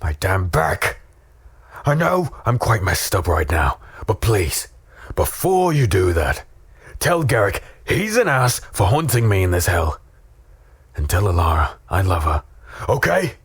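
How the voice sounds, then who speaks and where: A man playing a battered, weary human in a dark fantasy audio drama, wry and fatalistic as he tells his story. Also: deep voice, big pitch swings, measured pace, husky, dry recording, exaggerated voice. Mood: despairing, pained.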